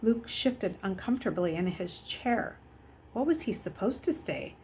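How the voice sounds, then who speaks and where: A woman's voice: mid-range voice, animated, measured pace, a little mellow, slight background noise. A woman narrating a children's novel aloud from a script.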